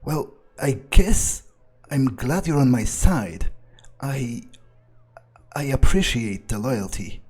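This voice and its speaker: A man improvising in character during a video game playthrough, speaking haltingly and broodingly in a husky voice. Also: mid-range voice, animated, darkish tone. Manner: halting, broody, heavy swearing. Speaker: gamer role-playing his character aloud.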